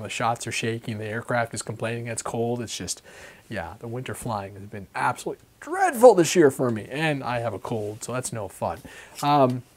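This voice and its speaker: Man, middle-aged, getting over a cold, casual hobby vlog, rambling, self-correcting. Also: medium pitch. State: recovering from a cold, voice croaky at times. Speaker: RC hobby vlogger. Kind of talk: casual weekly update vlog.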